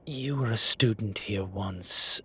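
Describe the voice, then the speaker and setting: A man playing an ancient, bookish incubus demon professor in an audio roleplay, dry and exasperated, with halting, sighing delivery. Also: fairly low pitch, bright, forceful tone, husky, full character voice. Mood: sheepish pleading. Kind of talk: audio roleplay acting, intimate one-sided dialogue.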